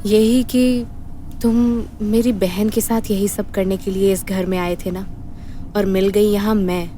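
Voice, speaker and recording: A woman's voice: mid-range voice, level tone, measured pace, warm tone, close to an everyday voice. A resolute woman spy in a romantic audio drama played by an actress, torn between love and duty. Faint music plays under her voice. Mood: resolute, guarded.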